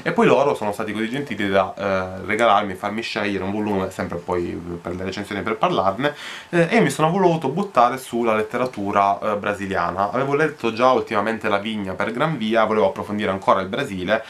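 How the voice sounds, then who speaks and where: Twenties guy w a lowish voice rambling about books he picked up, lots of ehm and repeats, small bedroom. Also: a little fast, a bit bright. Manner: chatty, many hesitations and repeated words. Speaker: book vlogger. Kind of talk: casual book haul vlog. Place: small bedroom in front of a wardrobe.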